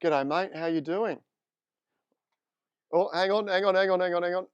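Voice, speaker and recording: Australian man, host, casual upbeat chat, smooth clear voice, dry sound. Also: high-pitched, moderate pace, no room sound, lo-fi sound. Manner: chatty, upbeat and informal. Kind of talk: live stream interview. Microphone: desk microphone.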